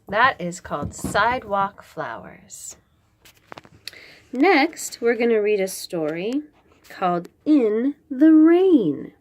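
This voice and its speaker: A woman with a fairly high voice hosts a read-aloud for small children. She takes her time, stretching out the lines of the picture book with very lively intonation, then adds chatty comments of her own. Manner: expressive, drawn-out reading with chatty asides.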